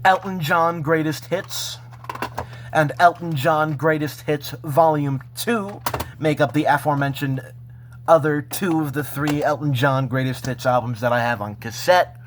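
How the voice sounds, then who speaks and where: A man talking casually and unscripted as he shows off a personal collection, halting between phrases. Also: fairly high pitch, a little hiss or hum. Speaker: vlogger showing a personal collection. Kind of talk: casual unscripted show-and-tell.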